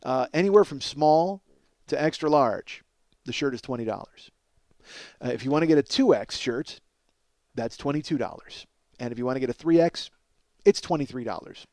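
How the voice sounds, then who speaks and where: A man hosting a podcast, telling a casual, profane comic story in an animated, exaggerating way and acting out both sides of conversations. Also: medium pitch, normal speed, pauses often, no echo, clean studio sound. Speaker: podcast host.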